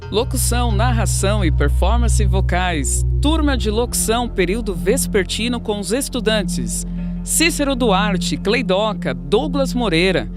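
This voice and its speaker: A man's voice: high-pitched, expressive, unhurried. A man with a Brazilian accent reading scripted radio credits as an announcer. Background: loud music.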